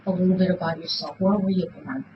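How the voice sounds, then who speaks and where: A woman's voice: on the low side, fast talker. A woman conducting an interview, asking questions in a casual, conversational way. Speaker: police polygraph examiner, interviewer. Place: small police interview room. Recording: muffled, noisy interrogation room recording.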